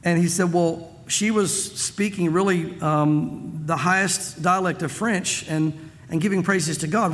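A man's voice: sits high, big-room sound. A man preaching a conversational sermon, full of asides and restarts, in a church. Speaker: pastor. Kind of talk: expository sermon.

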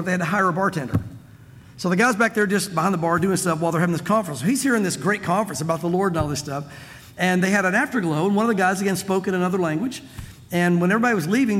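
A man's voice: high voice, big-room sound, a little hiss or hum. A man preaching a conversational sermon, full of asides and restarts, in a church. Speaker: pastor. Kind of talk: expository sermon.

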